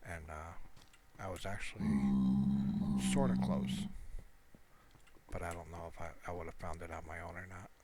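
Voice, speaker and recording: A man's voice: sits low, normal speed, pauses often, very noisy. A man giving casual, rambling gameplay commentary in a hesitant, meandering way, often correcting himself. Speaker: gamer narrating own gameplay.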